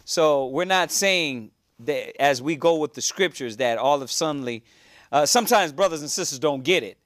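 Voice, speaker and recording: A man's voice: a little high, animated, moderate pace, a bit bright, no echo, studio quality. A man teaching a religious class on a cable show, earnest and repetitive in his preaching.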